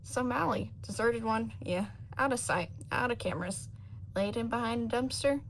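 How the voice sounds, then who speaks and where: A woman's voice: on the high side, normal speed, slight background noise, natural voice. A woman voicing a coolly scornful criminal under questioning in a story, clipped and matter-of-fact. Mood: cool, dismissive.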